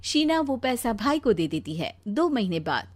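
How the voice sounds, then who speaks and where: High-voiced woman narrating a story. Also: big pitch swings, normal speed. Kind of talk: scripted cartoon story narration.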